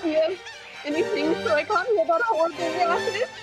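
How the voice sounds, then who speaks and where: An actress playing a frightened, anxious wife in a vintage-style radio drama. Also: female voice, very high voice, normal speed, over music.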